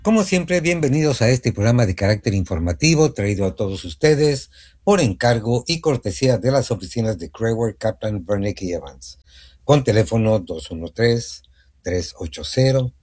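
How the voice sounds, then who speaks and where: A man hosting a morning radio show in a studio, chatty, spelling out phone numbers slowly. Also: on the low side, very animated, a little mellow. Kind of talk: morning radio talk and sponsor reads.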